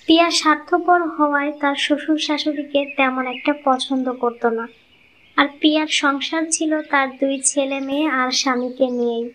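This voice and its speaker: A woman's voice: sits high, fairly bright tone, slight background noise, rough recording. A woman narrator. She reads a scripted cartoon story in a storytelling style.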